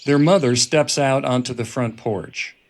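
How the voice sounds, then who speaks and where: A male narrator reads scripted scene directions for a film table read. His voice sits at a middle pitch, with faint music and effects underneath. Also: a little slow.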